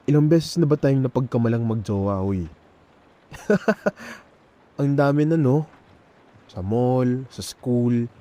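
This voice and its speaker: A young man with a Filipino accent performing an intimate ASMR roleplay as an emotional best friend, speaking colloquially and haltingly, with pauses. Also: mid-range voice, warm tone.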